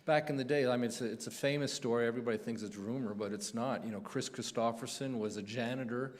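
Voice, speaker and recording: A man's voice: mid-range voice, fairly even intonation, fast. A man hosting a radio show on stage, chatting casually and repeating phrases for emphasis. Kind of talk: casual onstage interview chat. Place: stage of a live music show. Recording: reverberant hall.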